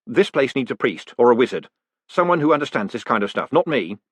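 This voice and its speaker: Man voicing a frantic young con man, high-strung, very animated voice, dead-dry sound. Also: a little fast, no room sound. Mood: rattled. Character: panicked young male con man, jittery.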